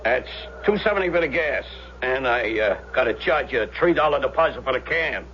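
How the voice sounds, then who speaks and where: An actor playing a trusting, kindly gas station attendant in a 1970s radio drama, with folksy, plain speech. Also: male voice, medium pitch, animated, brisk, natural voice. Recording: noisy, muffled vintage radio.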